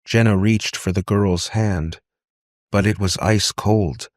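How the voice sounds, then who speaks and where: Guy narrating a novel, lowish voice, really slow, no room echo. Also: steady tone, clean studio sound. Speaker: audiobook narrator. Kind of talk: scripted fiction narration.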